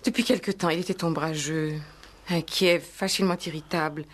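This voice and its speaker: An actress playing a grieving, dignified widow, hesitant, formal and restrained, in 1960s French radio drama style. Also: female voice, fairly low pitch, fairly even intonation, moderate pace, close to an everyday voice. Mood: sorrowful, restrained. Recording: vintage 1960s radio play recording.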